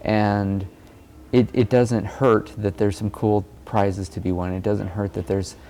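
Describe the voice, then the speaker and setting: Low, creaky, even-toned man. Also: a little slow. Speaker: amateur cyclist and blogger. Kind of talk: informal reflective speaking.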